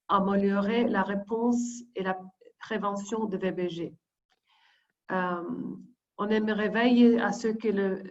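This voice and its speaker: A woman deputy coordinator reading prepared opening remarks over a video call, hesitant, with frequent pauses and restarts. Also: medium pitch, muffled recording. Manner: hesitant, many fillers and restarts while reading.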